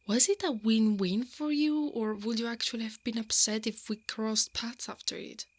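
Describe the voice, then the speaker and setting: A woman playing a brave, protective female knight in an intimate ASMR roleplay, softly and often in a breathy whisper. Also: fairly high pitch, lightly acted. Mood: teasing, dominant. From Italy. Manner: slow, intimate, hushed delivery.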